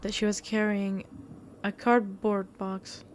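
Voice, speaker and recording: A woman's voice: mid-range voice, little pitch movement, slow pace, a little hiss or hum. A woman reading a visual novel aloud as a game streamer, stumbling over words and breaking off into blunt, playful commentary. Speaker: game streamer doing a walkthrough.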